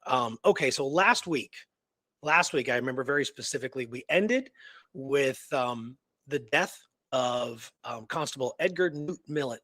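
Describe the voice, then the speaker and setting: A man's voice: medium pitch, lively intonation, normal speed, a bit bright, no room sound. A man telling a history story in a casual, rambling way, repeating words for emphasis. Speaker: co-host telling a true crime history.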